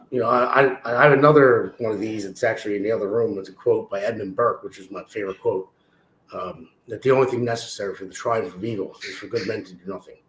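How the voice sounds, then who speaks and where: A man in his forties from Philadelphia with a slightly hoarse voice, chatting casually as an interview guest on a video call. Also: lowish voice. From Philadelphia, USA. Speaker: interview guest, political candidate. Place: room at home, indoors. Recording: roomy echo on a video call.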